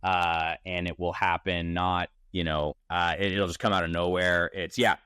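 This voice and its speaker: A man of thirty speaks in a low voice, casual and energetic. He thinks aloud as a founder on a podcast, restarting often. The sound is dry and close. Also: moderate pace, smooth, no echo. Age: 30s. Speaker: startup founder, podcast guest. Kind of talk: casual interview conversation. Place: Toronto.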